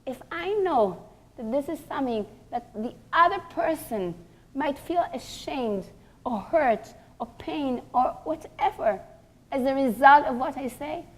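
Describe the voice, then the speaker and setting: A woman with a fairly high voice delivers a religious lecture as a teacher. She is earnest and didactic, putting questions to her listeners and leaning on key words for emphasis. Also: lively intonation, measured pace, low-quality recording. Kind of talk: religious lecture to a group of women.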